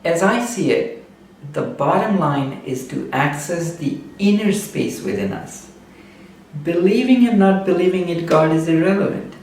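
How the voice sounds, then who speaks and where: A man whose native language is Urdu gives a slow, measured spoken reflection and often pauses with "uh". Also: a little high, sing-song, darkish tone. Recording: very echoey room, distant mic, faint background noise.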